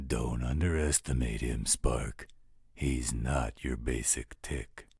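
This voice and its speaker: A man playing a gruff, overprotective werewolf guardian in an audio roleplay, gravelly and husky, with a protective, fatherly manner. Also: a bass voice, slow, a little mellow, no echo, studio quality, full character voice. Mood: worried, protective. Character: gruff, overprotective male werewolf guardian, tender toward his ward.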